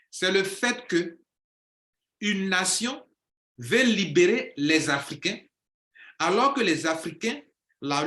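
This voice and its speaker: A man's voice: on the high side, bright, forceful tone, roomy sound. A man speaking as a coach in an impassioned monologue, declaiming and repeating phrases for emphasis.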